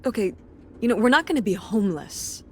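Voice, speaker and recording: A woman's voice: a little high, expressive, a little fast, darkish tone, lightly acted. A woman playing a weary, exasperated middle-aged mother in a video game, speaking naturalistically and defensively, with some hesitation. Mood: evasive, reassuring. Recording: clear background ambience noise.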